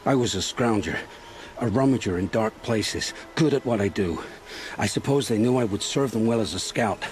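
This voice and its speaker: An actor playing a frightened, world-weary scrounger turned informant in a video game drama, speaking in halting, grim, folksy storytelling phrases. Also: male voice, fairly low pitch, slight background noise, natural voice. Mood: weary, regretful.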